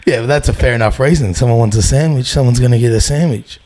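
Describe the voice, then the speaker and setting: A man's voice: mid-range voice, fast, darkish tone. A man in his twenties with an Australian accent, chatting casually as a podcast guest, laid-back, matey and sweary. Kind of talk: casual chat.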